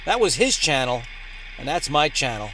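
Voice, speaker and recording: A man's voice: mid-range voice, very animated, normal speed, sharp tone, a little hiss or hum, poor audio. A man hosting a ham radio channel in an upbeat, emphatic announcer-like way. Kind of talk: upbeat vlog presenting.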